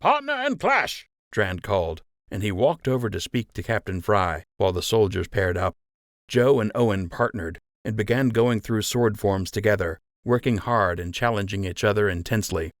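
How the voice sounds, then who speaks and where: Low-voiced man narrating an adventure audiobook. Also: normal speed, dry recording, clean studio sound. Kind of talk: scripted narration of a children's adventure story.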